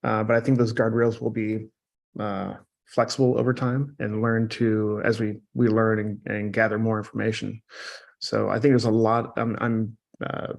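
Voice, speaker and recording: A man giving his opinion in a casual, unscripted online discussion, with measured and tentative phrasing. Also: on the low side, flat delivery, vocal fry. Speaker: panel participant giving opinions.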